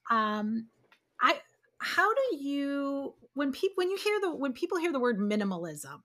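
A woman hosting an interview on a video call, chatty and animated, with asides and laughs. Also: high-pitched, a little slow. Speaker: interviewer host. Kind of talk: casual interview.

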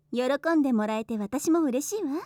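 A woman's voice: high voice, dark tone, dry recording, studio quality, heavily acted. A woman playing a teasing, doting older-sister hostess in a Japanese voice drama, with a coy, soothing delivery. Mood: welcoming. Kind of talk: Japanese situation voice drama acting.

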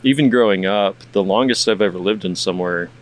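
A man's voice: low voice, brisk. A man hosting a podcast, chatting in a rambling, laid-back way and swearing now and then. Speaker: podcast host. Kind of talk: casual interview chat.

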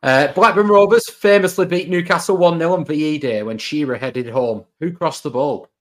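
A man's voice: on the high side, normal speed. A man co-hosting a casual podcast, asking quiz questions with dry jokes. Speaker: podcast co-host.

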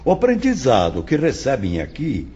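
An actor playing a solemn, kindly male spirit teacher in a radio drama, speaking in a measured, didactic way. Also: mid-range voice, wildly swooping pitch, mellow, husky. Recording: muffled radio drama track with music.

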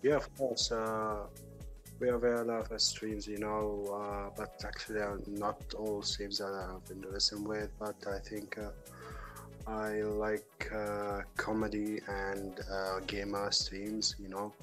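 A young man from Germany, a native German speaker and student, chatting haltingly in English with many fillers. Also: on the low side, completely flat delivery, fairly bright tone, soft background music. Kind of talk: casual chat.

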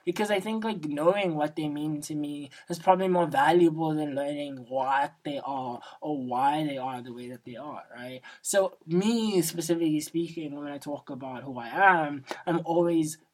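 A man's voice: normal speed, few pauses, rough recording. A young man with a feminine-sounding voice hosts a solo podcast, talking casually and reflectively in slangy speech peppered with "like" and "right?". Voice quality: feminine-sounding, not a deep baritone. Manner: informal, slangy, seeks agreement with frequent "right?" and "like". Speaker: podcast host.

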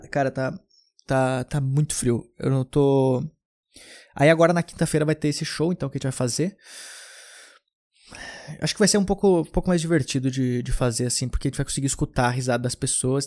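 A man's voice: mid-range voice, darkish tone. A young man from southern Brazil hosting a podcast, telling loose, rambling anecdotes in casual chat with frequent restarts. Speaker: podcast host.

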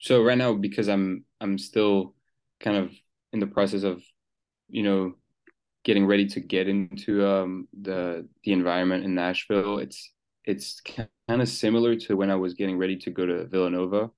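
A man's voice: low voice, level tone, normal speed, a little mellow, smooth. A young man from Switzerland, a new pro soccer player, answering interview questions casually with many fillers and restarts. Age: young adult.

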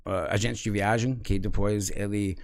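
A man's voice: fairly low pitch, measured pace. American man chatting in Portuguese. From United States. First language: English. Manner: relaxed, frequent "sabe?" tags, laughs often. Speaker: guest. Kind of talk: casual conversation.

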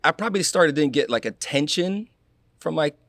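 A young man from Florida, a guest in a casual interview, telling animated stories and acting out what people said. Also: on the high side, normal speed, no echo. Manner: animated storyteller, acts out quotes, laughs. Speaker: guest, former college athlete.

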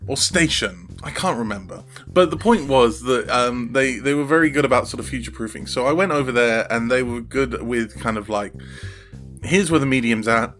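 A man's voice: medium pitch, normal speed, fairly bright tone, faint music behind. Man thinking aloud in casual interview. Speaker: interview guest, audio drama creator.

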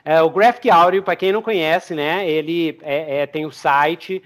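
A man's voice: on the high side, normal speed. A Brazilian man hosting a podcast in casual, enthusiastic interview chat. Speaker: podcast host.

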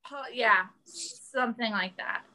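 A woman's voice: a little high, takes it slowly, pushed and bright, rough recording. A woman chatting casually and enthusiastically as a podcast panelist, explaining things as she goes. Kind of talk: casual chat.

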